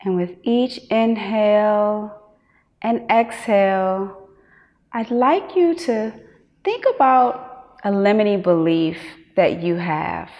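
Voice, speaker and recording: A woman teacher guiding a meditation, giving measured instructions with long pauses. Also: medium pitch, animated, muffled recording. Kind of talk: guided meditation. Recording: long hall-like reverb.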